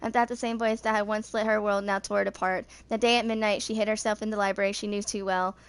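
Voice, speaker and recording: A woman in her twenties reading a story aloud as an amateur narrator, slightly halting in her delivery. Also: mid-range voice, flat delivery, clear, resonant tone. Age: 20s. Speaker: reader of game character lore.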